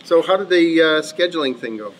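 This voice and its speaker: A man's voice: fairly high pitch, lively intonation, brisk, breathy edge, low-quality recording. A man playing a mild-mannered clinic boss in a conversational training film, hesitant and often repeating his words. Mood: friendly, appreciative. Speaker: office manager in staff training film. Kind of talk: acted workplace role-play, conversational.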